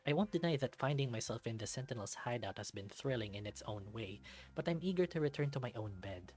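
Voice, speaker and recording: A man's voice: medium pitch, lively intonation, quick pace, darkish tone. A man reading first-person narration from a visual novel as a scripted read. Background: music.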